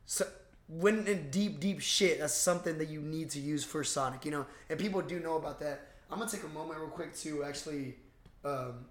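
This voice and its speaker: A young man co-hosting a casual movie discussion, talking in an excitable, slangy way. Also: on the high side, a little fast. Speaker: podcast co-host. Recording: echoey room.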